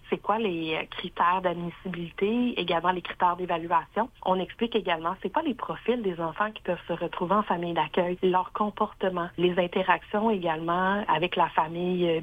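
Measured Quebec French female interviewee, lowish voice, tinny phone line. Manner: informative, measured. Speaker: social services manager interviewed. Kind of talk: explanatory interview clip.

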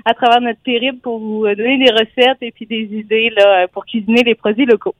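Quebec lady on a tinny phone line, high voice, bubbly, laughs a lot, lots of euh. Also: steady tone, brisk, dry recording. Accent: Quebec French. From Quebec, Gatineau valley. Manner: bubbly, laughs often, many fillers. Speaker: guest, chef and sommelier. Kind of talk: phone interview on local radio.

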